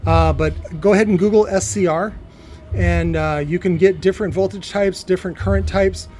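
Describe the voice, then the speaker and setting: A man with a high, mellow voice talks a listener through technical details in an informal way. His delivery is halting, with words repeated mid-sentence. Faint music plays behind him. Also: fairly even intonation. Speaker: electronics builder explaining a device.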